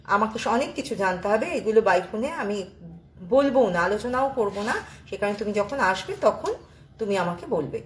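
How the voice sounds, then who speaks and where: A woman's voice: mid-range voice, animated, moderate pace, echoey room, a little hiss or hum, lightly acted. A woman quoting a stern middle-aged male astrologer uncle who gives firm instructions.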